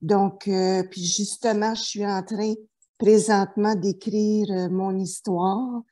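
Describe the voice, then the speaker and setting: A woman's voice: mid-range voice, fairly even intonation, slow, dark tone. A middle-aged woman from New Brunswick, a therapist telling her life story in an interview, hesitant with many fillers and restarts. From New Brunswick, Canada. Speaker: therapist guest.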